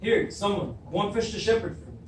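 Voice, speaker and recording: A man plays a proud, troubled king in a classical tragedy, commanding and declamatory. His voice sits a bit high, caught from a distance over faint noise. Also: expressive, brisk. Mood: insistent. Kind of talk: beginning drama class readers theatre. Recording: echoey room, distant mic, faint noise.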